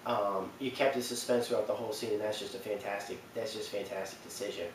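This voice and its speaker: A man giving casual, unscripted countdown commentary as a video host, halting as he searches for words. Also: on the low side, fairly even intonation. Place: echoey room, away from the mic. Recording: very echoey, distant mic, faint noise.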